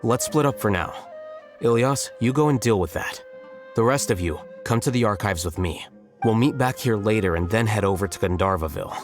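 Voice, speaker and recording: Man as a low-voiced, coolly logical scholar. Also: expressive, music in the background, lightly acted. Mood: composed, commanding. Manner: measured, detached, precise. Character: calm, coolly logical male scholar investigator.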